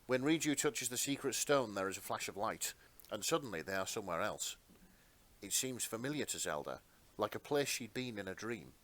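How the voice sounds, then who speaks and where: A man's voice: lowish voice, fairly bright tone. A man narrating a piece of fan fiction as a dramatic story reading.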